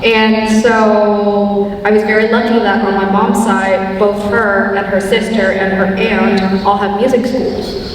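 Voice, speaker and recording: A woman's voice: medium pitch, little pitch movement, takes it slowly, slight background noise. A young woman pianist from Indonesia, answering interview questions articulately. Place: concert hall stage. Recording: very echoey hall, from a distance.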